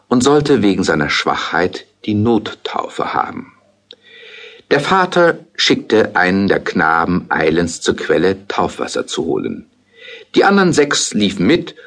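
A husky-voiced man narrating a fairy tale in a measured, storytelling manner. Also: low voice, a bit bright.

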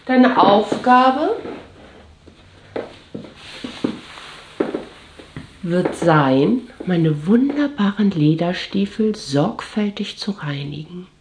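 A woman playing a haughty mistress in an intimate audio drama, commanding and condescending, often slipping into breathy whispers. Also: medium pitch, echoey room, noisy background. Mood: stern, teasing. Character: haughty dominatrix mistress commanding her servant. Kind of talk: scripted German audio drama monologue, intimate.

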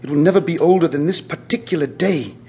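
A man's voice: on the high side, quick pace, a little mellow, a little hiss or hum, lightly acted. An actor playing a beautiful, vain young man in a radio drama, earnest and emotional. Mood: anguished.

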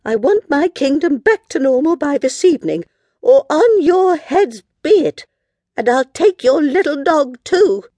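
A woman's voice: sits high, big pitch swings, measured pace, dark tone, dry recording, voice pushed up. A woman voicing a haughty, moody cow queen with a pompous, commanding manner in a children's story. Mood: threatening.

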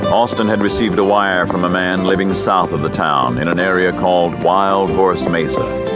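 A man with a low voice narrates a western tale from a script. His delivery is measured storytelling, and guitar music plays loudly behind him.

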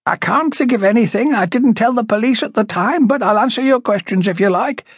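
A man voicing a blunt, prickly older working-class woman with a high, rasping voice, emphatic and chatty, as audiobook dialogue. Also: a little fast, no echo, clean studio sound. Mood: gossipy, grudging.

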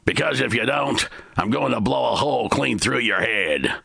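A man doing a threatening Western gunman, barking, bright tone, dry sound. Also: medium pitch, expressive, normal speed, gravelly, slightly rough, no room sound, voice pushed up, exaggerated voice. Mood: menacing. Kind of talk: storytelling.

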